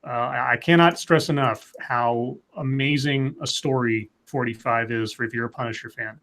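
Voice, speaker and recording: A man with a medium-pitched voice discusses comics casually as a livestream panelist. He leans hard on his points and often halts to find his words as he goes. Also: steady tone, normal speed, fairly bright tone.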